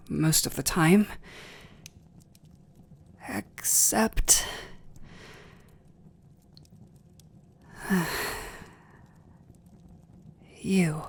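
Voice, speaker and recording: A man playing a noble, restrained vampire lord in an intimate ASMR roleplay, with a British accent and formal, hesitant, breathy delivery. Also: sits high, exaggerated voice. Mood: strained longing. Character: noble vampire lord, restrained, tormented, tender toward servant.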